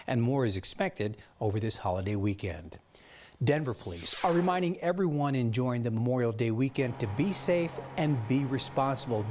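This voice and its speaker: A man's voice: medium pitch, noisy background. A man with an American accent reading scripted news stories as a television anchor, with some banter.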